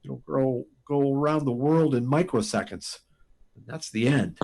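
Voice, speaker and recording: An actor playing a frantic, halting test pilot pleading to warn of doom in a sci-fi radio drama. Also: male voice, medium pitch, creaky, darkish tone. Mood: dazed, awed. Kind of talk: live performance of 1950s sci-fi radio drama.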